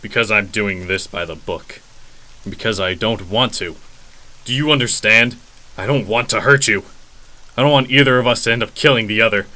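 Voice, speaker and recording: An actor playing a grim, earnest vigilante superhero in a radio drama, speaking sternly and deliberately with intensity. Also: male voice, lowish voice, bright, forceful tone, low-quality recording.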